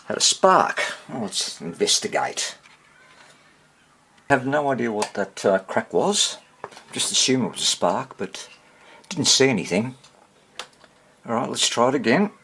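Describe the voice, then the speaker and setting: A man giving a casual hands-on repair demonstration, muttering to himself and pausing between short phrases as he thinks aloud. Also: mid-range voice, sing-song, bright, forceful tone, slight background noise. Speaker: hobbyist radio repairer presenting his workshop video.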